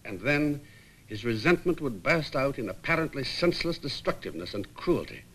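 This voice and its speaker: An actor playing an articulate British psychiatric nurse, gravely narrating a case history in a 1950s film. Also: male voice, big pitch swings, a bit bright, low-quality recording. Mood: grave, explanatory. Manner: measured, grave, emphatic. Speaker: hospital staff member recounting a case history. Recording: faintly rumbling 1950s film soundtrack.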